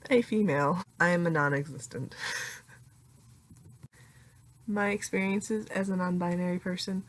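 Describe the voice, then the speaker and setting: A young adult woman with a deeper voice talking casually in a confessional vlog, full of "like" fillers and laughing often. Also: poor audio. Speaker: vlogger.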